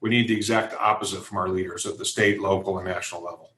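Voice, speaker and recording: Low, even-toned American man in echoey room. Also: fast. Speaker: interview guest, events company executive. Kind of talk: conversational interview answers.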